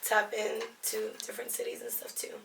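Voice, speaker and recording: A young woman from Virginia who grew up speaking both Spanish and English. She is a hip hop and R&B artist talking casually at a lounge venue, in a relaxed and upbeat way with plenty of slang. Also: a little high, moderate pace, vocal fry, recorded from a distance. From Alexandria, Virginia. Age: young adult. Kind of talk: casual interview. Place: busy lounge venue before a show. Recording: roomy venue sound, from off-mic.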